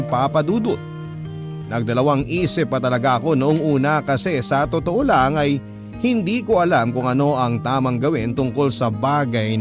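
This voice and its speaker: A male actor plays a young man narrating his love story for a radio drama. His voice is mid-range, sincere and measured in a scripted storytelling style. Music plays clearly behind him. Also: medium pitch, natural voice. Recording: prominent music bed under narration.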